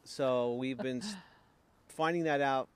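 A man's voice: medium pitch, takes it slowly, slight background noise. A middle-aged man from Long Island, explaining his building plans in a plain, practical way, recorded outdoors by a nearby camera. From Long Island, New York. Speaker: homesteading vlogger. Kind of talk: casual chat. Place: outdoors by a tent garage.

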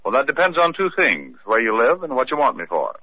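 An actor playing a flippant, wisecracking private detective in an old radio drama, glib and wry. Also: male voice, mid-range voice, very animated. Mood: breezy, wry. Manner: glib, wry, quick with quips. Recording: muffled old radio broadcast.

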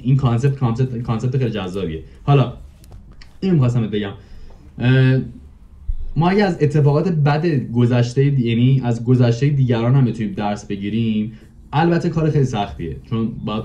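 Mellow young man, slightly muffled. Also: mid-range voice. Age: 20s. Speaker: podcast guest. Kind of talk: casual chat. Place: home room with bookshelf and curtains. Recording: muffled, dull video-call sound.

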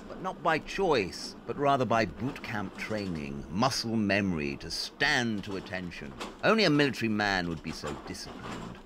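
A man's voice: medium pitch, bright, forceful tone, a little hiss or hum. An actor playing a brilliant, self-assured male detective in an audio drama, explaining things in a clipped, superior, matter-of-fact way.

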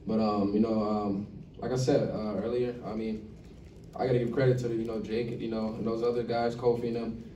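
A young man talks through his answers loosely, leaning on "you know" every few words. His voice sits mellow and unhurried. The sound comes from a mic across a roomy press space with a faint hum behind it. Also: on the low side, level tone. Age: young adult. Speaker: college basketball player. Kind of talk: postgame press conference answers. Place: press conference room. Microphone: table mic, recorded from across the room. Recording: echoey room, distant mic, faint hum.

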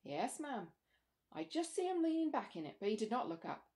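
A woman's voice: a little high, sing-song, a little fast, darkish tone, pitched above the performer's own voice. Woman reading as rambling, deferential country manservant. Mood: earnest, deferential. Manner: respectful, rambling, uneducated country grammar. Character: deferential, talkative rustic manservant, male. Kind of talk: storybook character dialogue.

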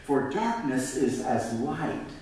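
Male pastor, 50s, slow and highly animated sermon delivery, heard from afar in a roomy sanctuary. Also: a little hiss or hum. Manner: dramatic preaching, swelling up then dropping to near whisper; gestures widely at the pulpit. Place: large church sanctuary. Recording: echoey church from a distance.

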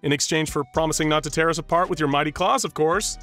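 A man voicing a friendly, playful male agent in a bright, teasing manner. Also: mid-range voice, huge pitch swings, moderate pace, soft background music.

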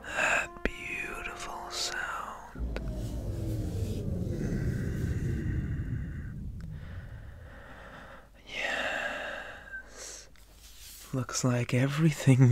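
Male actor as deranged monster boy, ASMR horror roleplay, raspy, gravelly fry, languid menace, teasing. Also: medium pitch. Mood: gloating, languid. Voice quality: breathy, whispery rasp. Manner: slow, drawling, teasing, with sighs and chuckles. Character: deranged slit-mouthed monster boy inmate, taunting, druggy, menacing.

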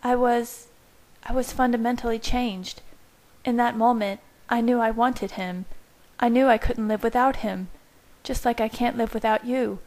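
A woman reading the part of an earnest, tender young pregnant woman, speaking gently and reassuringly. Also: a little high, fairly even intonation, a little slow, pitched above the performer's own voice, poor audio.

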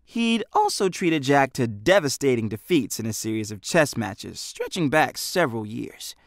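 A man's voice: mid-range voice, very animated, moderate pace, no room sound. An American man narrating a storybook as an audiobook reader, in scripted style, close on the microphone.

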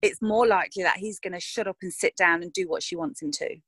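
A woman's voice: medium pitch, quick pace, creaky, a bit bright, husky, no echo. An actress talking frankly in a casual interview, thinking aloud and restarting her sentences.

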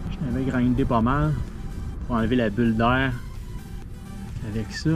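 A man's voice: medium pitch, steady tone, moderate pace, over music. A man in his thirties with a Québécois French accent, casually talking through a fiberglass repair step by step while he works outdoors in a boatyard. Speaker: sailing vlogger. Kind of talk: hands-on repair demo. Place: outdoor boatyard under a hull. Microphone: action camera close up.

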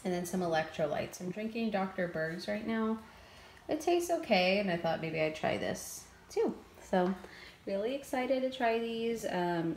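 A woman's voice: medium pitch, a little hiss or hum. A woman in her thirties chatting casually through a keto food and grocery vlog in her home kitchen, upbeat and full of fillers. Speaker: keto vlogger.

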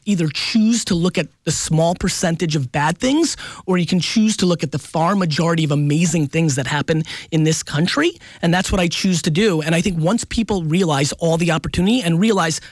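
A man in his forties speaking as a radio guest, emphatic and animated, keeping his points moving with quick "right?" tags. His voice sits a touch higher than most men's. It is recorded close on a studio mic with a very dry, dead sound. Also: dry recording. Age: 40s. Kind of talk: casual radio interview. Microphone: studio microphone up close.

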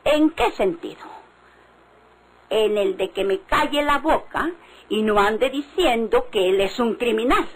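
An actress playing a hard, suspicious Mexican country wife in a vintage radio drama, speaking emphatically and accusingly. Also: female voice, fairly high pitch, lively intonation, unhurried, rough recording. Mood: indignant, suspicious.

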